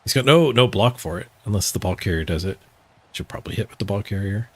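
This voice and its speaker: A man's voice: lowish voice, lively intonation, fast talker, a bit bright, breathy edge. A man streaming a video game, giving casual, playful live commentary as he thinks his moves through aloud.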